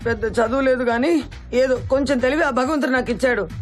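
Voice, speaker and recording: A woman's voice: high-pitched, fast, lightly acted. A woman playing a middle-aged, crafty, doting mother in a comedy film, scolding and insistent as she pesters her son. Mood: accusing. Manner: scolding, insistent, emotional bargaining. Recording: film soundtrack with faint background music.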